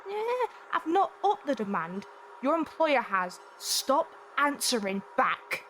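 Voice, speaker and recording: A woman's voice: high-pitched, big pitch swings, slow, a bit bright, a little hiss or hum, heavily acted. A woman playing a stern, spiteful Victorian factory overseer in an audio drama, scolding and snappish. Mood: sharp reproach. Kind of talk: fan audio drama acting.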